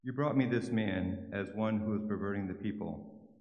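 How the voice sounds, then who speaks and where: A man reading the part of a judging Roman governor in a church dramatic reading, formal and measured. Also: fairly low pitch, lightly acted. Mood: judicial. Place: large church. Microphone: microphone on stand. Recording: dull, echoey church hall from afar.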